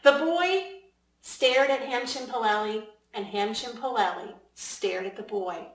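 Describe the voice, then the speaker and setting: A woman reading a children's storybook aloud as its author, in an expressive, deliberate way with emphasis for young listeners. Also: a little high. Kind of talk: storybook read-aloud narration. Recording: echoey room.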